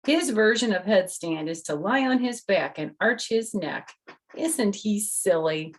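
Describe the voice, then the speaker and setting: A woman's voice: mid-range voice, expressive, a little slow. An older woman reading a children's picture book aloud, speaking to young listeners and adding chatty personal asides.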